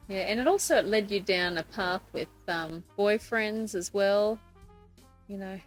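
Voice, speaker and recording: A woman's voice: mid-range voice, unhurried, faint music behind, poor audio. A woman hosting a podcast, interviewing guests in a conversational way. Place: couch in a room in Nakasi.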